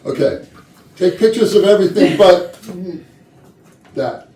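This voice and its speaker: Guy playing flustered office boss trying to keep order, highish voice, roomy recording. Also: animated, unhurried, dark tone. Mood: flustered, stern. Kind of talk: staged reading of murder mystery comedy. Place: indoor rehearsal room, mic at a distance. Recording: roomy distant rehearsal recording, faint noise.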